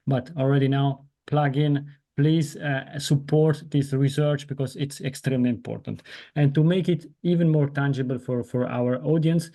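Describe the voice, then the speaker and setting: A middle-aged man whose first language is Italian, hosting an interview over a video call and encouraging the guest, with quick restarts. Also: mid-range voice, dark tone. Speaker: podcast host.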